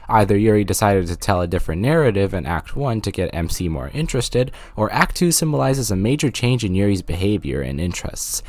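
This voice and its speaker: A man's voice: lowish voice, no echo. A man narrating a scripted, jokey video game review with sarcastic, playful asides.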